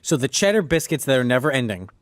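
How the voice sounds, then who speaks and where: A man's voice: mid-range voice, sing-song, fast talker, no room sound, clean studio sound. A man bantering casually as a podcast panelist, argumentative and foul-mouthed.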